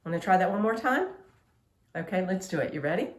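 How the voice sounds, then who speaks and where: A woman's voice: fairly low pitch, lively intonation, a little fast. A woman leading an online story time for young children as a librarian, giving simple instructions and asking them questions. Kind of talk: children's online lesson with songs.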